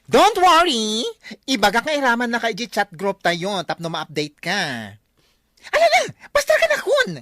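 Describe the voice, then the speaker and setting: A woman's voice: a little high, huge pitch swings, moderate pace, sharp tone, no room sound, studio quality, exaggerated voice. Actor as a swooping, sassy Filipino hairdresser woman. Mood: chatty, coaxing. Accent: Filipino, Ilocano. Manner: theatrical exclamations, playful gossip. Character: chatty, teasing village hairdresser, sassy and flamboyant. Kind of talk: Ilocano comedy drama acting.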